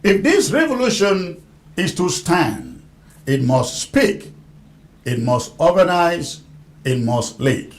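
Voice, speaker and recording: Animated male show host, higher-pitched. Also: slow pace. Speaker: host of a news discussion show. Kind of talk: live broadcast hosting.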